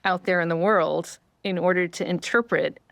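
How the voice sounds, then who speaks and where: A woman's voice: lowish voice, fairly even intonation, moderate pace, creaky, fairly bright tone, dry recording, studio quality. Thoughtful woman scientist explaining. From rural Vermont, US. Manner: explanatory, thoughtful, frequent affirming fillers. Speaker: neuroscientist guest. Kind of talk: conversational explaining.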